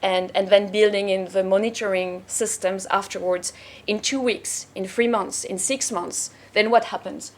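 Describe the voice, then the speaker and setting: A woman panelist giving a measured, explanatory answer in a panel discussion. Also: mid-range voice.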